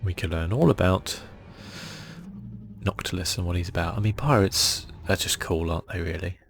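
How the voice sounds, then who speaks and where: A soft-spoken man hosting a relaxed ASMR game playthrough, casual and a little hesitant in his delivery. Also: sits low, normal speed, creaky, a little hiss or hum. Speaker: gaming channel host.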